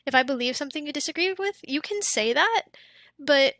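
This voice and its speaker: A young woman streamer chatting casually with her viewers and reading out chat, her voice a little worn from losing it, often dropping into soft whispery asides. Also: sits high, animated, fairly quick, no room sound, studio quality. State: losing her voice, throat a bit off.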